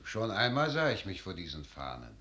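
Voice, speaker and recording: A courtly minister in an old radio play. His voice is lowish yet bright and pointed. He speaks formally and precisely, with cool hauteur. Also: wildly swooping pitch, moderate pace, heavily acted. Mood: coolly indignant. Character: dignified, cool imperial court envoy. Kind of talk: 1950s German radio drama verse acting.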